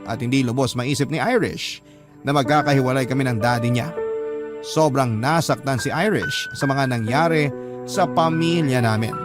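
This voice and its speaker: A man narrating a listener's letter on a radio drama show in an earnest, steady storytelling manner. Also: mid-range voice, normal speed, music in the background.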